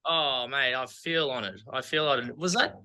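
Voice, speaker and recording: A man's voice: medium pitch, normal speed, bright, forceful tone, clear, resonant tone. A man with an Australian accent, chatting casually as an athlete and coach being interviewed.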